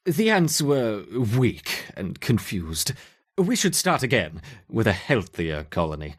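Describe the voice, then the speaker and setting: A man's voice: fairly low pitch, wildly swooping pitch, slow, husky, full character voice. A man playing a theatrical video game scientist who relishes cruel experiments on ants, with a mock-innocent manner. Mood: measured reporting. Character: gleefully sinister male scientist running cruel ant experiments. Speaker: lab researcher character. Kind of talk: video game character acting.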